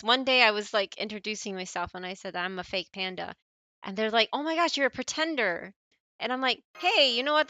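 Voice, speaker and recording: A woman livestream host chatting casually with viewers while she plays, in an animated, giggly, playful way. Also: medium pitch. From born in Malaysia, family brought to the US.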